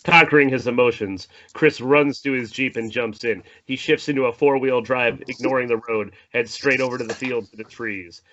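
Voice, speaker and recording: A man's voice: mid-range voice, steady tone, moderate pace, hardly any pauses, fairly bright tone. A man narrating the stage directions of a screenplay in an informal table read. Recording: dull lo-fi audio with faint noise.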